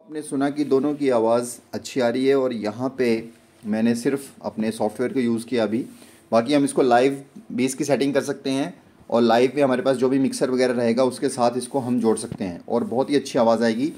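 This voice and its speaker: A man's voice: mid-range voice, fairly even intonation, a little fast, dark tone. A man in his thirties with an Indian accent explains gear step by step in a casual vlog style, recorded indoors on a phone. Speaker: vlogger and musician. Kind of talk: casual product demo vlog. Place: small home studio room, indoors.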